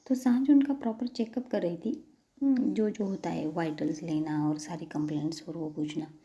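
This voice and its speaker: A woman narrating a romantic audio story in a soft, half-whispered, breathy voice. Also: fairly low pitch, fairly even intonation, moderate pace, highs cut off.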